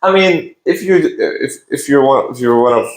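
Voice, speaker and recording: A man being interviewed as a physiotherapist, explaining in a relaxed, casual way with fillers and restarts. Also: medium pitch, normal speed. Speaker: physiotherapist guest. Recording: echoey room.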